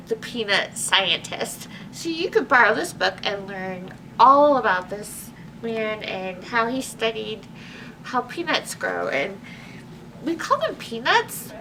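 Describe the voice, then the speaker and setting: A woman presenting a storytime for young children, reading aloud and chatting to them in an animated, exclamatory way. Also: a little high. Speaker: library storytime host. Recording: distant microphone with faint background noise.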